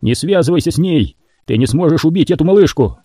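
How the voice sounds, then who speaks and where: A man's voice: fairly high pitch, expressive, quick pace, warm tone, no echo, studio quality, voice pushed up. A man doing a mocking, tough bearded captive.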